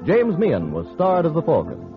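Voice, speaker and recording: A man's voice: on the high side, huge pitch swings, normal speed. A man reading as a scripted announcer in vintage radio style. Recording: muffled old radio recording. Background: organ music.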